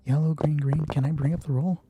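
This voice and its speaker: A man's voice: on the high side, fairly even intonation, fairly quick, mellow, breathy edge. A man game mastering a solo roleplaying game, thinking aloud through dice rolls in casual, sweary commentary.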